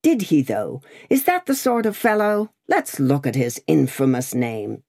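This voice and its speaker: A woman voicing a blatant, bullying gentleman who hectors and scorns in a raised, blustering voice. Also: deep voice, big pitch swings, a little slow, gravelly, no echo, studio quality, exaggerated voice. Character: blatant bullying loud-mouthed gentleman, adult man.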